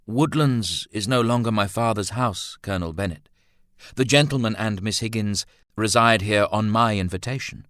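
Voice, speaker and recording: Casting: courteous young gentleman played by a man, measured, polite, immovable, bright dry sound, audiobook fiction. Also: fairly low pitch, dry recording, voice pushed up, natural voice. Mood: calm defiance. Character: calm, courteous, stubborn young gentleman painter.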